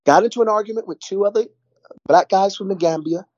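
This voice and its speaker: Husky-voiced middle-aged New man. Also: high-pitched, fast talker, a little mellow, no room sound, dull audio. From New York. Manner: rambling, repeats words, frequent "you know". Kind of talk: casual conversation.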